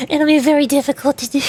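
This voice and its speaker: A woman's voice: high voice, a little mellow, no echo. A woman streaming a game, giving casual, rambling commentary as she thinks aloud. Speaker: streamer, VTuber.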